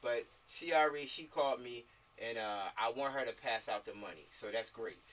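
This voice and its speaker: A man's voice: mid-range voice, moderate pace, a bit bright, slight background noise. An American man in a casual planning chat on a video call, repeating himself and often checking for agreement. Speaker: film director organizing a shoot. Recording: distant mic on internet video call.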